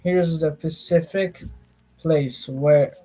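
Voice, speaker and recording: Sleepy young man reading slowly, breathy. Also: on the high side, steady tone, warm tone, low-quality recording. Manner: mumbling, reads aloud haltingly, restarts often. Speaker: livestreamer studying for a test. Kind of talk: casual late-night study livestream.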